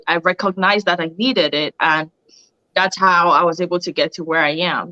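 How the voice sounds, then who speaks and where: A woman's voice: lowish voice, fairly bright tone, no room sound. A cheerful woman in her thirties from Cameroon, speaking as a guest on a live online chat. She laughs often and leans on plenty of fillers. Her voice comes through dull and dry, like lo-fi call audio. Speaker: guest, digital business coach. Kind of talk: casual live-stream chat.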